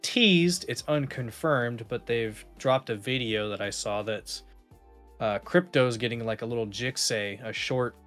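A man's voice: medium pitch, a little slow, fairly bright tone, faint music behind. A man co-hosting a podcast, explaining things in casual, informal gaming chat. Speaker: podcast co-host.

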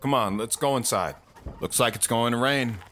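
An actor playing a steady, philosophical friend in an audio drama, speaking in a measured, reflective way. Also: male voice, mid-range voice, slight background noise, natural voice. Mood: steady, reassuring. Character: steady, philosophical male friend giving folksy counsel.

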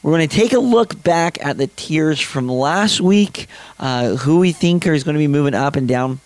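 A young man in his twenties hosting a casual sports podcast, his voice a little raspy from late nights, rambling with many fillers and restarts. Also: on the high side, animated, moderate pace, dry recording. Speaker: podcast host.

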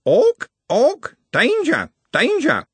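A man voicing a frantic bird-like female creature in shrill, repeated cries for an audiobook. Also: wildly swooping pitch, very slow, fairly bright tone, husky, no echo, heavily acted. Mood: frantic, menacing.

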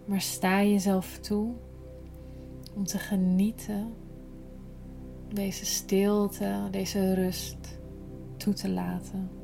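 Woman doing guided meditation, really slow and even, gentle and soothing, faint music behind her. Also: mid-range voice. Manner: soothing, unhurried, gentle, breathy at times. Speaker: yoga nidra guide.

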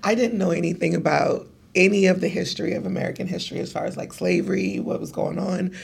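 A woman from Cameroon, a podcast guest telling her life story in a casual, animated way with some laughter. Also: on the low side, quick pace, vocal fry, breathy edge.

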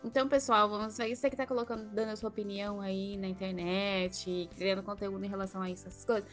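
A woman's voice: medium pitch, flat delivery, fast talker, soft background music. A Brazilian woman co-hosting a podcast in casual, emphatic conversation. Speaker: podcast co-host.

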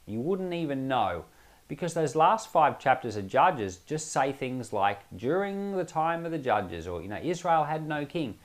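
Lively male pastor teaching the Bible conversationally. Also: on the high side, unhurried. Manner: explanatory, emphatic, with rhetorical asides. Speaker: pastor giving Bible commentary.

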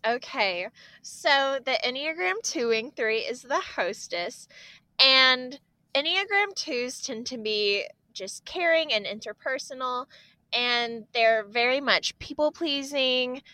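Young female co-host, bright tense voice, eager and gushy, dry room. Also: sits high, expressive, takes it slowly, dry recording, poor audio. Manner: enthusiastic, gasps and laughs often. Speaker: podcast co-host. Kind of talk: casual chat.

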